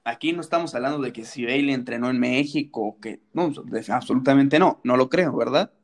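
A man's voice: mid-range voice, fairly quick. A man co-hosting a casual wrestling chat, playful and teasing, fond of rhetorical questions. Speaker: wrestling podcast co-host.

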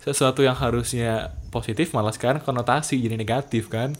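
A man, talking in relaxed podcast chat. His voice sits at an ordinary middle pitch. He drops English phrases into his slangy talk. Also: fast talker. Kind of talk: casual chat.

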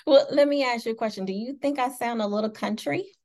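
A woman from Indiana with a Midwestern American accent, hosting a casual interview with friendly, quick questions. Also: a little high, darkish tone. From Indiana, USA. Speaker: talk show host.

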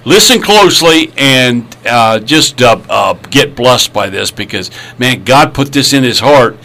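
A man's voice: mid-range voice, expressive, a little slow, creaky, pushed and bright. A man hosting a casual podcast chat, rambling and joking with lots of fillers. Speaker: podcast host.

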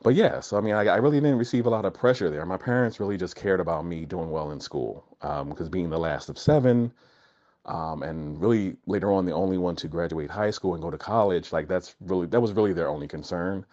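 A young man telling a childhood story in a relaxed chat, hesitant and full of ums and restarts. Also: low-pitched, a little fast. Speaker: guest. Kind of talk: casual personal storytelling. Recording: dull lo-fi recording.

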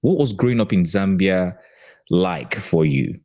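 A man hosting a podcast interview, deliberate and curious in a conversational style. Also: low voice, expressive, mellow, dull audio.

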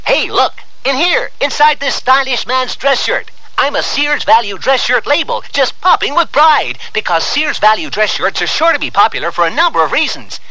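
Perky, high-pitched talking shirt label. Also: male voice, moderate pace, few pauses, no echo, exaggerated voice, poor audio. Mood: proud, excited. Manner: boastful, excited sales patter. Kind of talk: 1970s radio commercial character acting.

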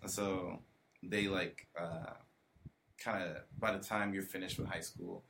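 A man's voice: sits low, flat delivery, unhurried, vocal fry, a bit bright, a little hiss or hum. A young man from the US South, a podcast guest, telling stories in a laid-back way with lots of fillers. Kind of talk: casual chat.

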